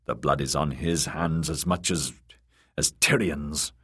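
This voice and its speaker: A man voicing a weary, bitter one-handed knight who speaks low, grim and clipped. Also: unhurried, a bit bright, husky, full character voice. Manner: low, grim and clipped, menacing when threatening.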